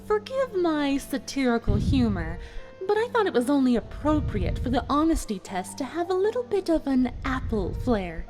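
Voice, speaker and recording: Smug villain mare played by a woman, high-pitched and expressive, taunting with mock politeness, for an audio fan drama. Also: over music. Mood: smugly taunting. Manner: measured, mock-apologetic, taunting. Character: smug, cruel female pony villain running a deadly test.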